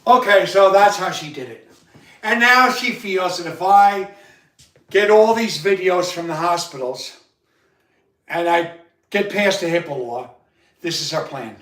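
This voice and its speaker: High-voiced man with a loud voice. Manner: repetitive, halting, many restarts. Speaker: livestream host. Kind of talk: rambling personal monologue. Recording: roomy sound, far from the mic.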